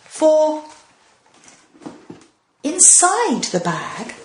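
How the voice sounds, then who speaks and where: A middle-aged woman reading a children's story aloud, with dramatic, deliberate emphasis. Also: high voice, wildly swooping pitch, roomy sound, slight background noise. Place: small decorated room indoors.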